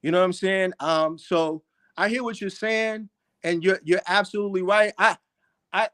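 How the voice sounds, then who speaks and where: Casting: man in his forties, laid-back podcast guest, fairly high voice. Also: moderate pace, a bit bright. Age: 40s. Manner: laid-back, reflective, says "you know what I'm saying" a lot. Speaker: guest, fellow YouTuber. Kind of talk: casual podcast conversation.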